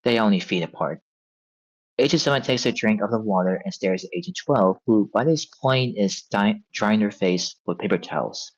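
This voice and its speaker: A man's voice: on the low side, normal speed. A man reading scripted scene directions as narrator at a table read. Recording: dull, lo-fi sound.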